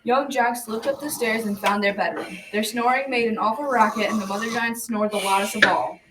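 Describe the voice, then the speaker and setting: A folksy folktale storyteller played by a young girl with a fairly high voice, telling a scripted tale. The recording is a little distant, with faint noise underneath, like a school radio play. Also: fairly quick, natural voice. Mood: folksy, storytelling. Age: child about 12 to 13. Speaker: narrator of a school radio drama.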